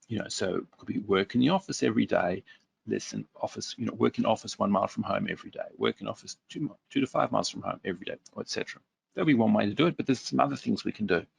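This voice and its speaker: A man's voice: fairly low pitch, creaky, husky, no room sound. A man presenting a workshop webinar, reading out viewer comments and answering them conversationally with fillers.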